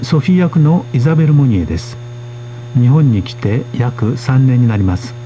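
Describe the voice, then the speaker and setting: A man hosting a radio language course, speaking in a polite, steady way as he interprets for his guests. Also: mid-range voice, quick pace, lo-fi sound, slight background noise. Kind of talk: radio lesson presenting.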